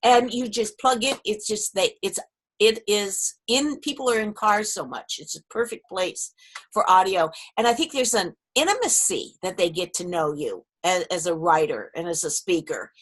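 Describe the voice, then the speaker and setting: A woman's voice: medium pitch, animated, normal speed. A woman moderating a casual live online panel who often restarts and stumbles over her words. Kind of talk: casual panel chat on a livestream.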